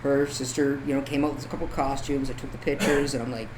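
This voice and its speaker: A man speaks in an average-pitched voice as an interview guest. He is informal and conversational, spinning stories about his work. Noticeable ambient noise sits behind him. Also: little pitch movement, fast. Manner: informal, conversational, peppered with tag questions like "right?". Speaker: guest, photographer and artist. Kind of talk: casual chat, telling anecdotes. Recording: clear background noise, room ambience.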